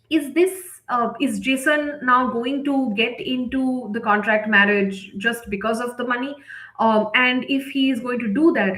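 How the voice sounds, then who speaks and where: A woman's voice: on the high side, fairly bright tone. A woman presenting an instructional live session, explaining step by step in a conversational way and often checking in with short tag questions. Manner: conversational, often tags sentences with "right?" or "okay?". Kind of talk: instructional webinar talk, explanatory. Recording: echoey, roomy sound.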